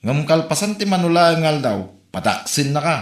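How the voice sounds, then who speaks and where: A man with an Ilocano accent, playing a calm husband who gives his wife practical advice. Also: a little high, fairly quick, echoey room. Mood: advising. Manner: steady, advising.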